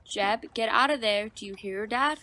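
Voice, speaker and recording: A woman's voice: a little high, moderate pace, bright, forceful tone, a little hiss or hum. A woman playing a bitter, vain mother in a radio drama, with a snippy, theatrical delivery. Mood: alarmed.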